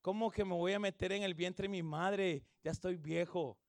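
A man, preaching an evangelistic sermon and leading the congregation in reading, with phrasing that swells into shouts. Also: high-pitched, steady tone, no echo, clean studio sound. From El Salvador. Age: 30s. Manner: calls everyone "hermano", drawn-out emphatic phrasing. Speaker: pastor.

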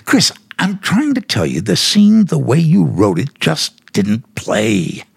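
An American man acting a brusque, blustering, dismissive producer in a 1950s radio drama, with a raspy, creaky voice. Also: mid-range voice, huge pitch swings, slow pace, dry recording, clean studio sound, full character voice. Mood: defensive, patronizing. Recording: vintage 1950s radio recording.

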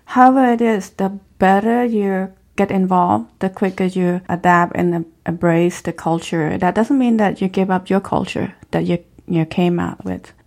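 Woman, middle-aged, Cambodian accent, slightly low voice, earnest and encouraging, reflective interview talk. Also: steady tone, moderate pace, creaky, hardly any pauses, darkish tone. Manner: earnest, encouraging, with simple non-native grammar. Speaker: former refugee and speaker.

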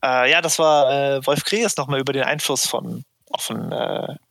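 A man's voice: mid-range voice, normal speed, vocal fry, a bit bright, no echo, clean studio sound. A man hosting a podcast sums up an interview clip, speaking hesitantly with many fillers. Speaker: podcast host. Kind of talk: podcast commentary.

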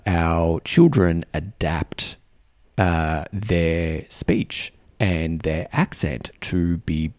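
A middle-aged man from Australia with a mild accent speaks low and unhurried, his voice gravelly with fry. He talks to his listeners in a rambling, reflective way, filling his pauses with ums and uhs. The recording is dry and close, with no room sound. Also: darkish tone, no echo. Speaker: podcast host and diplomatic mentor. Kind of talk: casual solo podcast talk.

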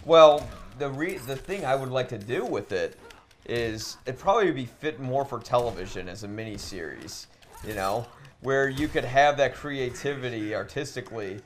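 A man co-hosting a podcast, debating casually and thinking aloud in a halting way, often repeating words. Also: medium pitch, animated. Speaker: podcast co-host. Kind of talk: casual debate while gaming. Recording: clearly noisy room ambience.

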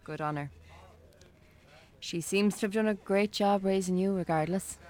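Actress as Irish bookshop woman: medium pitch, curious, good-humoured, gently playful, naturalistic radio drama. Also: normal speed, lightly acted. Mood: warm, sympathetic.

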